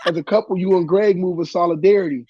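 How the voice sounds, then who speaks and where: A man's voice: high voice, steady tone, fast talker, slightly rough, no echo, dull audio. A man co-hosting a casual podcast, a bit congested from a cold, with a playful, teasing way of talking. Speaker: podcast co-host. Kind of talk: casual chat.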